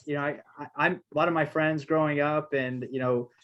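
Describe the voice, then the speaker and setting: A woman's voice: low voice, steady tone, brisk, highs cut off. A woman from Texas co-hosting a podcast, speaking hesitantly with many fillers.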